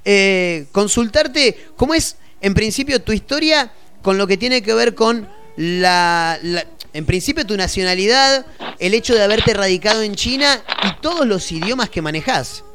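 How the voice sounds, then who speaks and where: High-voiced Argentine radio host. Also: male voice, lively intonation, measured pace. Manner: long-winded, rambling questions. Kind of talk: radio interview. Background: faint background music.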